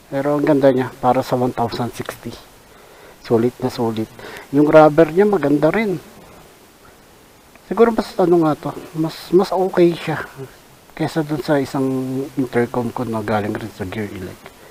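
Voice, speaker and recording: A Filipino man giving casual unboxing commentary as a vlogger, halting and thinking aloud in an unscripted way. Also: mid-range voice, lively intonation, a little mellow, poor audio. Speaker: vlogger reviewing a product. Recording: hissy background noise.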